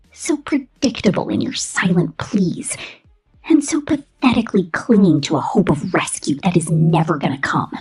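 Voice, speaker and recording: Woman acting breathy, cruel robot overseer, swooping pitch. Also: exaggerated voice, low-quality recording. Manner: condescending, menacing, slow theatrical delivery with frequent whispers. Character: cruel, domineering female killer robot corporate supervisor. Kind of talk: scripted ASMR roleplay.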